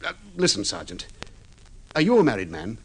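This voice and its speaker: A man's voice: lowish voice, sing-song, normal speed, a bit bright, breathy edge, exaggerated voice. An actor playing an agitated, guilty husband in an old radio drama, pleading earnestly and stumbling over his words. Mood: subdued. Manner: earnest, flustered, hesitant and repeating himself. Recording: slightly muffled vintage radio drama recording.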